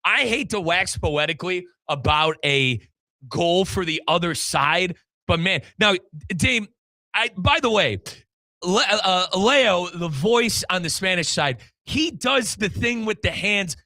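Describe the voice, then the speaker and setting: A man's voice: high-pitched, expressive, takes it slowly, bright, forceful tone, no room sound. An American man hosting a sports show, recapping matches in an emphatic, colloquial way and speaking straight to listeners and his co-host. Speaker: sports show host and radio play-by-play commentator.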